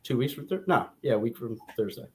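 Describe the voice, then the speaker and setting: A male podcast co-host with an American accent speaks in a relaxed chat format. His pitch is average for a man, and his delivery is that of informal back-and-forth between friends. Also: fast talker. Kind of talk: casual banter between friends.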